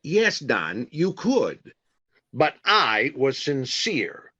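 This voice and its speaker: A man plays a self-important star of 1940s radio comedy. His voice is bright and very animated, with a slightly dull recording. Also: mid-range voice, extremely slow, husky, heavily acted. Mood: vain, wheedling. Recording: slightly dull, band-limited sound.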